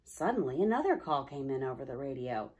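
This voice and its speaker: Female storybook reader, very animated pitch, slightly husky tone, dramatic emphasis. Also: fairly quick. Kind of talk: expressive children's story narration.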